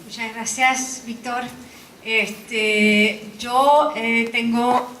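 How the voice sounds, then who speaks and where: A woman reads a conference paper in a careful, measured way, her showing through. Her voice sits fairly high, and she sounds far from the mic. A large hall echoes around her. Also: steady tone, a bit bright, a little hiss or hum. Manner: careful, measured reading with hesitations. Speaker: conference speaker. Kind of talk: academic talk read from a script.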